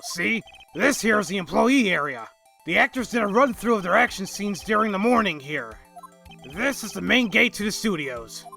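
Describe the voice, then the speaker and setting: Guy doing eager folksy cop for a game, forceful bright voice with lots of gravel, explaining stuff. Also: sits high, full character voice. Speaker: voice actor in fan dub. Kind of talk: video game character acting, explanatory. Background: quiet game music.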